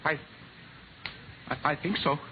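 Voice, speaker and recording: A man's voice: on the high side, takes it slowly. An actor playing an anxious, deferential young American man with a hesitant, nervous delivery, in 1950s radio drama style. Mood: shaken. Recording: dull vintage radio recording, faint noise.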